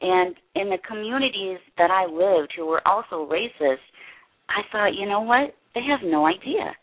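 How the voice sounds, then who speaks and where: A woman guest in an interview, speaking earnestly and haltingly with many restarts. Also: medium pitch, animated, slightly rough. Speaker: interview guest, production company head. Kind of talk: candid personal interview. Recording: tinny phone line.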